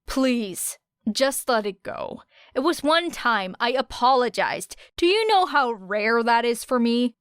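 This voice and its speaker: A woman voicing a guarded, sarcastic teenage girl with a dry, deadpan manner in an audiobook. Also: on the high side, takes it slowly, smooth, dry recording. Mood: pleading, exasperated. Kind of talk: audiobook character voice.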